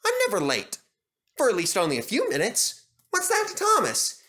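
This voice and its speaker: A man voicing a cheeky, cocky young male tank engine in a squeaky, teasing voice for a storybook reading. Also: huge pitch swings, normal speed, bright, forceful tone, heavily acted. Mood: huffy.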